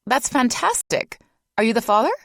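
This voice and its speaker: A woman voicing a cheerful, businesslike hospital nurse who speaks in a bright, chirpy way. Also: mid-range voice, lively intonation, normal speed, no echo, studio quality, voice pushed up, close to an everyday voice.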